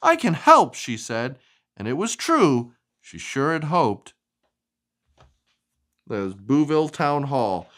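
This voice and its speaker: A woman reading a storybook aloud to a young child in an expressive, sing-song way. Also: extremely low pitch, takes it slowly, long pauses. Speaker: storybook reader.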